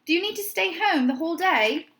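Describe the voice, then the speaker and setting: A woman voicing a hurried, concerned male shop worker on a phone call in a children's storybook. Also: very high-pitched, lively intonation, a bit bright, pitched above the performer's own voice, full character voice. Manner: questioning, hurried. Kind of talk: children's storybook read-aloud.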